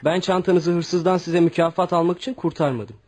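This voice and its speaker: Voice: medium pitch, fairly even intonation, moderate pace, darkish tone, lightly acted. An actor playing an earnest, proud teenage boy in a radio drama, polite and firm. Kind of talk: Turkish radio drama acting.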